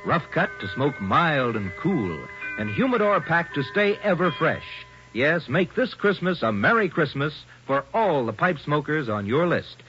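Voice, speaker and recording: A man's voice: a little high, sing-song, unhurried, hardly any pauses. A man delivering 1940s radio advertising copy like an emphatic salesman. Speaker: commercial announcer. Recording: dull lo-fi old radio, faint music.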